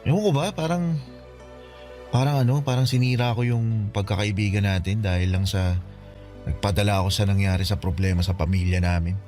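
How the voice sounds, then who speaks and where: A man plays a humble young man weighed down by guilt, confiding in a friend. His voice is fairly low and halting, in natural radio drama dialogue with soft music behind. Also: steady tone, close to an everyday voice. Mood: hesitant, regretful. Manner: hesitant, halting. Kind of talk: radio drama acting, conversational.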